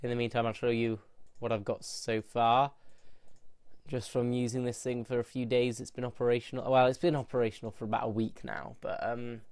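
Guy touring his game world, a bit stuffed up, smooth voice, dry close mic. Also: mid-range voice, level tone, a little fast, darkish tone, no echo. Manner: chatty, rambling, with frequent restarts. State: stuffy nose from hay fever. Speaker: gameplay video host. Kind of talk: casual gameplay tour.

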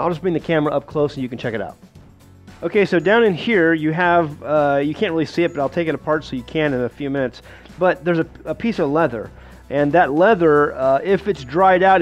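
Plain-spoken man, slightly dull home recording. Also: fairly high pitch. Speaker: homesteading host demonstrating a pump. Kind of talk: casual how-to demonstration. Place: home kitchen.

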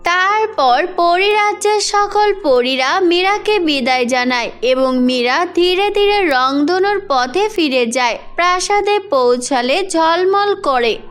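A woman's voice: very high voice, animated, takes it slowly, few pauses, a bit bright, soft background music. A woman narrating a scripted fairy tale for children as the storyteller.

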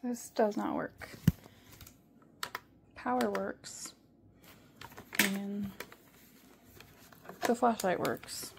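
A woman slowly testing a radio at home, matter-of-fact about what works and what does not. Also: medium pitch, creaky, noisy background. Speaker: product reviewer. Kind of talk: casual product demo.

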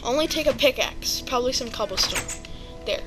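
A woman's voice: on the high side, expressive, a little fast, fairly bright tone. A woman giving casual let's-play commentary, chatty and hesitant with many ums and playful sound words. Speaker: video game player. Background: background music.

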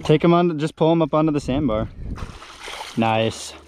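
A man's voice: fairly high pitch, normal speed, a little mellow. A man chatting casually as he fishes, with some mild swearing, recorded outdoors on a river sandbar. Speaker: angler filming a fishing outing. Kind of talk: casual chat while fishing. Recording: outdoor action-camera audio with background noise.

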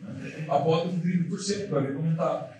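A man's voice: fairly high pitch, steady tone, brisk, crowd noise. A man giving a casual seminar talk to an audience in a large hall, repeating himself with many fillers. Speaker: lecturer. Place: large dark lecture hall. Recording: echoey hall from far off, audience.

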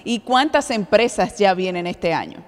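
A Dominican woman in her forties hosting a TV interview in an upbeat, drawn-out announcer way, speaking into a handheld mic in a studio. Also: medium pitch, lively intonation. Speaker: TV show host. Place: bright TV studio set. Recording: studio with long reverb.

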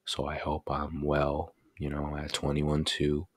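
An eighteen-year-old man chatting casually in a bedroom vlog, laid-back and slangy with lots of fillers, into a desk mic on a boom arm. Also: completely flat delivery, unhurried, slightly rough, no echo, clean studio sound. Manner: laid-back slangy talk, many fillers like bro and you know. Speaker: vlogger. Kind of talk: casual birthday vlog chat. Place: small bedroom indoors.

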